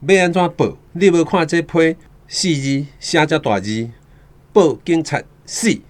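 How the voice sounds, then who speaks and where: A man's voice: fairly high pitch, a little slow, close to an everyday voice. An actor playing an anxious, self-protective doctor and father in a radio drama, exclaiming and arguing in a Taiwanese Hokkien accent. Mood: wary, insistent.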